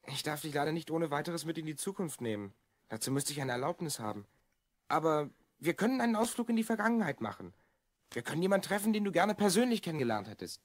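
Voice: medium pitch, very animated, fast talker, no room sound, clean studio sound. An actor voicing an earnest, slightly bossy twelve-year-old boy time traveller in a German children's audio drama. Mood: grateful. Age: child figure, twelve.

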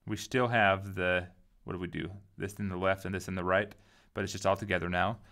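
A man giving a casual software tutorial, informally thinking aloud as he goes. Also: deep voice, steady tone, brisk. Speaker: tutorial host.

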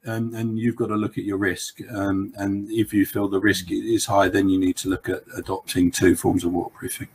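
A man speaks as the expert guest on a video call, his low voice a little husky. He answers questions in a loose, rambling way, leaning on "you know" and restarts. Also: flat delivery, fairly quick, few pauses, darkish tone.

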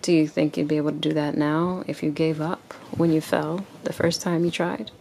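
A woman reading a picture book aloud to a child at home and asking instructive questions in a deliberate way. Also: low-pitched, level tone, gravelly, darkish tone, a little hiss or hum. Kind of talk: expressive read-aloud and gentle questioning.

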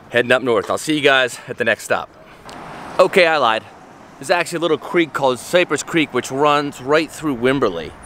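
A man, talking casually to a handheld camera while out fishing by creeks and lakes. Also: mid-range voice. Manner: relaxed, chatty, upbeat, with fillers. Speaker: fishing vlogger. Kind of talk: casual vlog talk to camera. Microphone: handheld action camera at arm's length. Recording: faint outdoor background noise.

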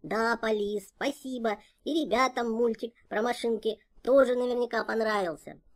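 A woman voices a friendly little taxi car, a boy character in a children's cartoon. The voice is fairly high and childlike, with an eager, simple delivery. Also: fairly even intonation, normal speed, lightly acted. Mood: pleased.

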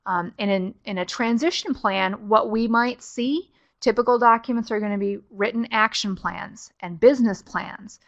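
A woman's voice: on the high side, normal speed, hardly any pauses, dull audio. Middle-aged woman doing a webinar over a computer mic, chatty, lots of ums, walks through it step by step. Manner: conversational, many fillers, explains step by step. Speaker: webinar presenter on farm estate planning. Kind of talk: informal webinar presentation.

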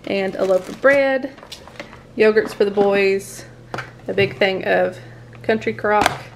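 A chatty woman talks through her groceries at the dining table, laughing easily and drifting into asides. Her pitch sits in a middle range. A faint room hum is heard behind her. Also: takes it slowly, creaky, poor audio. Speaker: family vlogger. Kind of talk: casual grocery haul chat. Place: home dining room, indoors.

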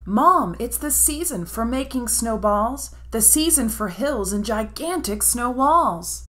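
A woman voicing an excited, bossy big-sister girl in a children's storybook, exclaiming and coaxing. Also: a little high, takes it slowly, voice pushed up. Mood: excited pleading.

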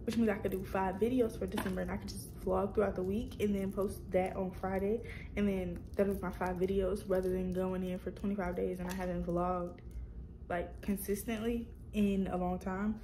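A woman's voice: medium pitch, level tone, normal speed, warm tone, a little hiss or hum, rough recording. A young woman vlogging casually at home, chatting to her viewers and correcting herself as she goes. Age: young adult. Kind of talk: casual chat to camera. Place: small room at home, indoors.

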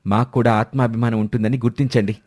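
A man voicing a dutiful, protective elder son who speaks respectfully and earnestly in an animated moral story. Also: medium pitch, fast, no echo, studio quality, soft background music, natural voice. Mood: firm protest.

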